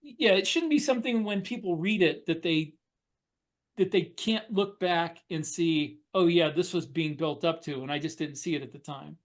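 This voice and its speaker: A man explaining and telling stories casually as a podcast co-host, halting at times as he works out his points. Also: on the high side. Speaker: podcast co-host and fiction author. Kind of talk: casual storytelling and discussion.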